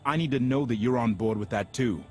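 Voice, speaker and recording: A man's voice: mid-range voice, brisk, a little mellow, natural voice. A man playing an earnest, idealistic deserter pilot in a video game, with natural conversational delivery. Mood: grave, reproachful. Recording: faint music behind the voice.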